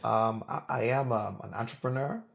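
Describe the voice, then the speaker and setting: A man from Cameroon with a Cameroonian accent, speaking as a podcast guest in a halting, emphatic, repetitive way. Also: medium pitch, creaky, muffled recording. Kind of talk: casual interview chat.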